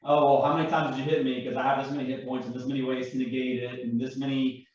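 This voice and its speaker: A man's voice: medium pitch, level tone, quick pace, a little mellow. A man on a panel explaining his hobby in casual, emphatic talk, often checking that listeners follow. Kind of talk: casual, animated explanation with acted-out examples. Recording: very echoey, dull, distant room audio.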